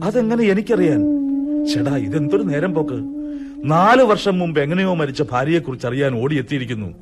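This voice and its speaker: A man's voice: a little high, expressive, fairly quick, close to an everyday voice. A man playing a guilty, short-tempered doctor son in a radio drama, hesitant, evasive and blustering. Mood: defensive. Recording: radio drama over clear music.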